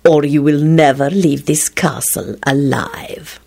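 A woman's voice: deep voice, slow pace, gravelly, mellow, husky, pitched below the performer's own voice, heavily acted. Woman voicing a venomous, hissing vampire wizard. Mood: deadly menace. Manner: hissing, snarling, theatrical threats. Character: venomous, wrathful male vampire wizard, snarling duelist.

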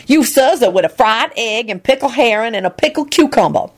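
A woman's voice: a little high, expressive, brisk, pitched above the performer's own voice. A woman voicing a bossy, outspoken older cook who grumbles and lectures in folksy, ungrammatical speech. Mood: lecturing. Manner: loud, folksy, ungrammatical talk with shrieks.

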